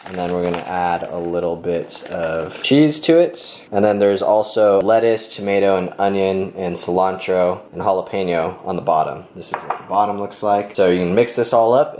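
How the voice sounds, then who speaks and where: A man's voice: sits low, brisk, few pauses, a little mellow, slight background noise. A man in his twenties talking casually to viewers as a fitness vlogger, upbeat and chatty, filmed at home and in a gym. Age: 20s. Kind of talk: casual vlog talk to camera.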